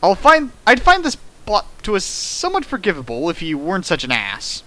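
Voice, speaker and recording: Bright animated young man. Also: moderate pace. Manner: animated, jumps in pitch for jokes and sound effects. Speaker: host reading a fan fiction aloud. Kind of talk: comedic dramatic reading with riffing.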